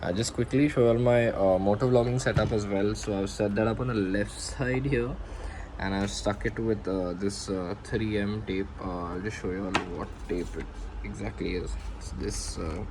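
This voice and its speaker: Low-pitched Indian man, noisy recording. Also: fairly even intonation, normal speed. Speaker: motovlogger presenting own gear. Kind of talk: casual unscripted product show-and-tell.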